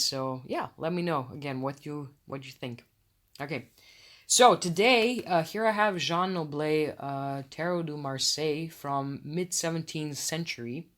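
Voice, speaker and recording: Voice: medium pitch, a little slow, fairly bright tone. A podcast host from Croatia with a Croatian accent, talking in a casual, effusive and friendly way, a little self-conscious about speaking English. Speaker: podcast host, tarot reader. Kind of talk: casual solo podcast chat.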